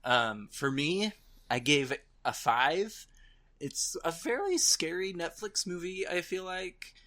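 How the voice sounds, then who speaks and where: A man's voice: on the high side, big pitch swings, slow pace, sharp tone. A man chatting casually as a podcast co-host, informal and joking.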